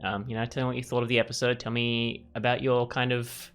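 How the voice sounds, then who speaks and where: A man's voice: medium pitch, monotone, quick pace, a bit bright, clean tone. A man hosting a gaming video with casual, musing let's play commentary. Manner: musing, informal.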